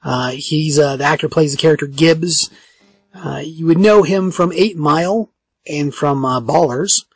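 A man with a raspy voice hosting a podcast in casual chat, halting and often correcting himself. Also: fairly high pitch, dry recording, faint music behind. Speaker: podcast host.

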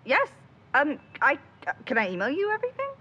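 A woman's voice: high-pitched, wildly swooping pitch, fairly quick, sharp tone, lo-fi sound, heavily acted. A woman playing a determined, outspoken young amateur investigator in an audio drama, speaking bluntly and emphatically. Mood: polite, nervous.

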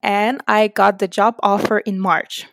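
A young Slovenian woman, speaking in a second language, chats casually as an interview guest. Her pitch is average for a woman. The sound is dry, with very little room in it. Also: little pitch movement, unhurried, a bit bright, no echo. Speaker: podcast guest. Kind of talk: casual chat.

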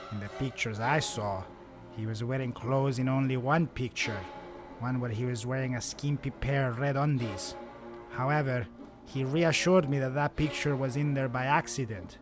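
A man co-hosting a podcast, chatting casually and jokingly with friends and prone to giggling. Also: medium pitch. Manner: giggly, breaking into laughter. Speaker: podcast co-host.

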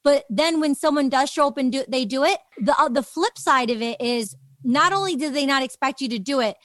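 High-voiced woman in casual conversation. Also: a little fast, fairly bright tone, no room sound, studio quality. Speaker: podcast host, interviewer.

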